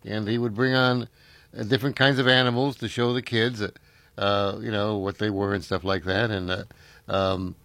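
A man's voice: lowish voice, level tone, moderate pace, a bit bright, dry recording. An older man, hosting a casual chat and reminiscing in a rambling way, full of fillers and restarts.

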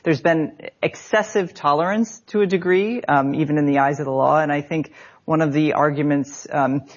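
A man speaking as a panelist in a measured discussion, with hesitant, wordy and formal phrasing. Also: on the high side, fairly even intonation, highs cut off.

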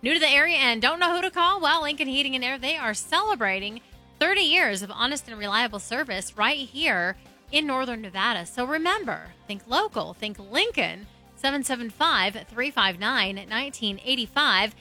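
An American woman reports traffic on the radio in a chatty style. Her voice is fairly high and extremely bright, with faint music behind her. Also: expressive, normal speed.

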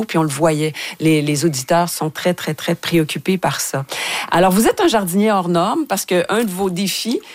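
Low-voiced Quebec woman hosting radio. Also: no echo. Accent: Quebec French. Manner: curious, reacts readily to the guest. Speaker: radio host interviewing a guest. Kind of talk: conversational radio interview.

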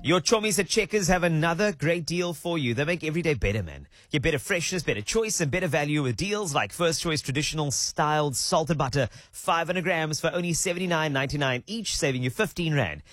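A man with a South African accent, a radio presenter reading an energetic, punchy promo. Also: fairly high pitch, a little fast, few pauses, no echo, studio quality. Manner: energetic, punchy sales delivery. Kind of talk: upbeat radio promo read. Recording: music bed behind.

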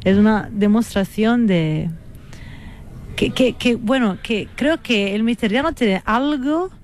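A woman from Italy speaking Spanish with an Italian accent as a guest musician in a radio interview, earnest and reflective, often pausing and restarting as she searches for words. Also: on the high side, expressive, darkish tone, a little hiss or hum. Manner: hesitant, searching for words, with many fillers and restarts.

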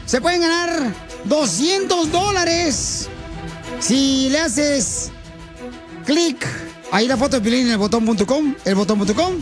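A man's voice: very high voice, lively intonation, unhurried, over music. A man hosting a radio call-in prize contest, chatting playfully with slangy nicknames. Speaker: radio show host.

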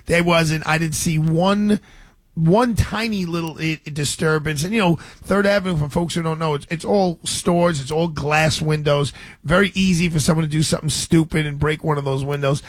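A man's voice: on the high side, fairly even intonation, moderate pace, dry recording. A man hosting a radio talk show, chatting energetically with frequent restarts.

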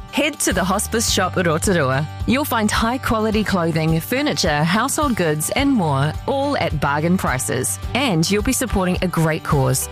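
A New woman reads a radio commercial in a friendly, even voice. She sits slightly low in pitch. Light music plays under her. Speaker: ad voice-over. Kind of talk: scripted ad read.

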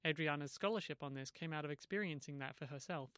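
A man's voice: a little high, fast talker, sharp tone, clear, resonant tone, dry recording, studio quality. A man working as a radio reporter, narrating from a script and putting questions to a guest.

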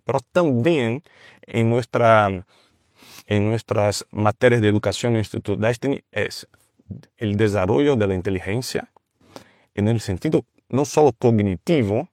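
A man from Brazil with a Brazilian Portuguese accent, speaking Spanish as a second language as a guest, with restarts and pauses to search for words. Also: on the low side, a little mellow, dry recording, studio quality. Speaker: guest, teacher of a ministry. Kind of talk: conversational testimony.

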